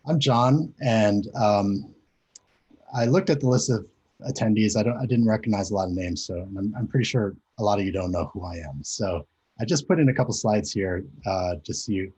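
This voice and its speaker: A man's voice: lowish voice, moderate pace, darkish tone, breathy edge. A man in his forties, raised in Japan, giving a hesitant, conversational presentation over a video call with many fillers. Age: 40s. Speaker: conference speaker. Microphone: video call mic.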